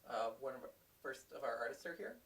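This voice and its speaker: A man's voice: mid-range voice, animated, a little fast, a bit bright. A man hosting a livestream, chatting casually and hesitantly with plenty of ums. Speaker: livestream host. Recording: roomy, distant mic with hiss.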